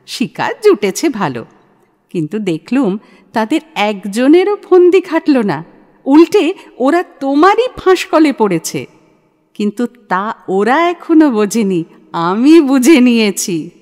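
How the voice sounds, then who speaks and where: A woman playing a shrewd, self-assured young woman in an audio play, speaking in a forthright, persuasive, dramatic way. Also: on the high side. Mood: bold, knowing. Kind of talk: audio play acting of a literary short story. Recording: long hall-like reverb.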